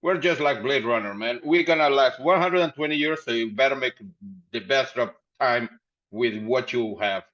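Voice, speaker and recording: A man's voice: medium pitch, normal speed. Rambling man on a dull call. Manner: rambling, animated, laughs often. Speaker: podcast guest, company founder. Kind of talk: casual interview storytelling. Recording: dull lo-fi sound.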